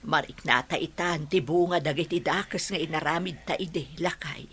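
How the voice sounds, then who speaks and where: A woman's voice: low voice, normal speed, a bit bright, husky. A woman playing a regretful middle-aged mother in a radio drama, speaking in a remorseful, pleading manner.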